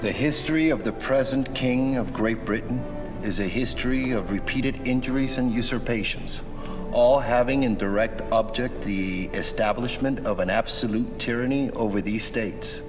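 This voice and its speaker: A man's voice: medium pitch, normal speed, over music. A man giving a formal, emphatic dramatic reading of a historical document. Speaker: reader.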